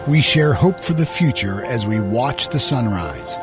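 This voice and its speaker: Male promo narrator over loud music. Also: mid-range voice, very animated, unhurried. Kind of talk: scripted inspirational narration.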